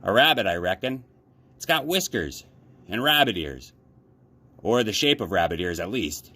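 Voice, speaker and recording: A man's voice: on the low side, animated, normal speed, sharp tone. A man voicing a grizzled, kindly old fisherman in a storybook, with a folksy, rustic, plainspoken manner. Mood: curious, considering. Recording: clear background noise.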